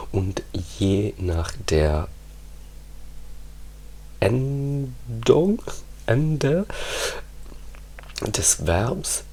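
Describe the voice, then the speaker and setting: A man whose first language is Italian, talking softly in an ASMR ramble, often slipping into whispers with halting restarts. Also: low-pitched, creaky, slightly rough, poor audio. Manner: slow, hushed, drifting into whispers, halting restarts. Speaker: ASMR creator. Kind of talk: soft-spoken ASMR ramble.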